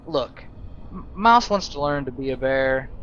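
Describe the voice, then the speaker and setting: A man role-playing and narrating over his video game in a deliberate, halting way. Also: medium pitch, expressive, fairly bright tone. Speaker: gaming video host and role-player. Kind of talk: role-play narration and commentary over gameplay. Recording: dull lo-fi mic, clear background noise.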